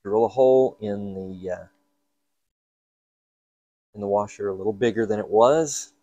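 A man's voice: lowish voice, unhurried, dark tone, no echo, dull audio. A man giving casual hands-on repair narration, half talking to himself in fragments as he works in an indoor workshop. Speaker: hobbyist repair host.

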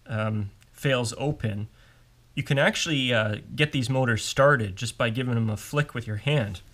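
A man's voice: mid-range voice, background noise. A man giving a casual, step-by-step technical demonstration as an instructor at a workbench. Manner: informal, explanatory, step-by-step. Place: indoors at a workbench.

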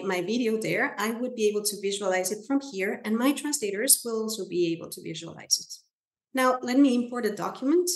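A woman with a Spanish accent, a native Spanish speaker, walking viewers through software in a webinar, often checking in with "right?" and "okay?". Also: mid-range voice, reverberant, poor audio. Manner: friendly, explanatory, with frequent "right?" and "okay?". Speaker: software trainer. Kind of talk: webinar screen-share demo. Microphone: video call.

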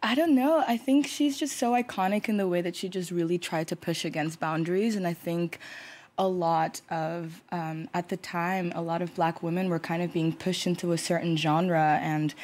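A woman's voice: lowish voice, steady tone, normal speed, a little mellow. A woman from Brazil, a Portuguese speaker, answering interview questions in a rambling way full of fillers. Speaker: musician guest.

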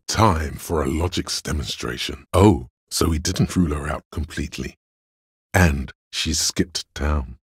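A man voicing Logic as the calm, cerebral inner voice of a detective in a video game, measured, dry and analytical, with a raspy voice. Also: dry recording, full character voice. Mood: analytical, insistent. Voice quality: very deep, gravelly rasp.

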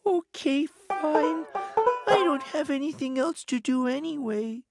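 Sad little cartoon circle, tiny high voice, very slow whining, loud music behind. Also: female voice, gravelly, heavily acted. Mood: glum. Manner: mopey, drawn-out whining. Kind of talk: children's cartoon acting.

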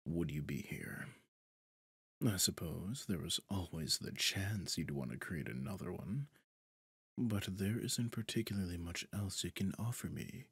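A man playing a smug, possessive devil in a roleplay audio drama, suave and pompous, with a breathy, husky delivery that often drops toward a whisper. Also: deep voice, no room sound, studio quality, heavily acted. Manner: theatrical, silky, pompous, drawn-out phrasing. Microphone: close, dry studio microphone.

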